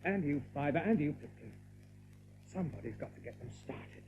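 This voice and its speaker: A thin, band-limited recording carries a young rabbit leader in an audio drama. He is earnest, brave and decisive, with a slightly high voice that moves in lively steps. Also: normal speed, music in the background. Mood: commanding. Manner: earnest, commanding. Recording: thin narrow-band recording with faint noise.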